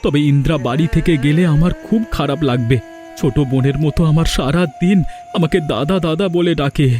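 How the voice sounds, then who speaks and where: A man's voice: a little high, fairly quick, dark tone, close to an everyday voice. A man voicing a kind, worried husband and protective brother in a cartoon, earnest and troubled. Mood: worried, helpless. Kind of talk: cartoon voice acting. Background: faint music.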